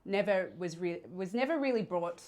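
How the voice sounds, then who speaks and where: A woman with an Australian accent speaks as a podcast guest. Her voice sits slightly low for a woman. She talks in a casual, candid way, as if sharing personal experience in conversation. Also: lively intonation, moderate pace, a little mellow. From Sydney, Australia.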